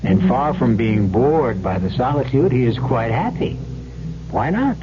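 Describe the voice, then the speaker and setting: A man hosting and narrating an old-time radio drama in a wry, deliberate, scripted way. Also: medium pitch, sing-song. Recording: muffled, hissy old radio recording.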